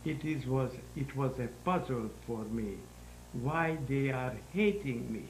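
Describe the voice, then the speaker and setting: A man in his nineties with a Hungarian accent, giving halting, deliberate interview answers about his own life in non-native English. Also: medium pitch, lively intonation. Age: 90s. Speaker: interview guest, sculptor. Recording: roomy echo, faint background noise.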